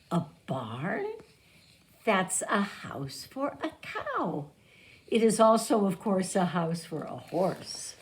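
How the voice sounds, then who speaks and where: A woman teacher reading a picture book aloud to young children, pausing to explain and repeat words simply. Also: lowish voice, darkish tone.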